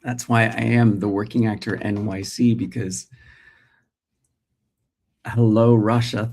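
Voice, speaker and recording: Mellow-voiced Australian man on a livestream. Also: lowish voice. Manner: reflective, pauses and restarts, greets viewers. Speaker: host. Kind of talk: casual livestream talk.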